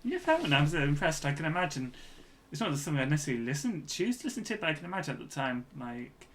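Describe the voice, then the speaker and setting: A man co-hosting a podcast in casual chat, reasoning aloud in a halting, repetitive way. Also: a little high, big pitch swings. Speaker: podcast co-host.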